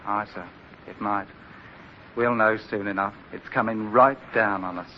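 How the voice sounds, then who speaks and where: An actor playing a loyal, plain-spoken sailor in a radio drama, respectful and hearty. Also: male voice, lowish voice, fairly even intonation, a little slow, natural voice. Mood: urgent. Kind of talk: old-time radio drama acting. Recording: muffled, noisy old radio recording.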